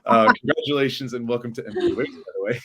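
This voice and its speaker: A man hosting an online panel discussion in a relaxed, chatty way, with some fillers, on a video call. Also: mid-range voice, fast, a little hiss or hum. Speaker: podcast host. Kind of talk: casual panel moderation.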